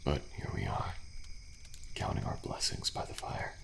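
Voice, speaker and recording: A man playing a weary, friendly male survivor in an ASMR audio roleplay, speaking in a soft, hushed, reassuring way with a deep, gravelly voice. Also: normal speed, noisy background, lightly acted. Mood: calm, resigned. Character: weary, friendly male survivor of deadly trials, resigned yet hopeful. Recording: faint night insect ambience behind.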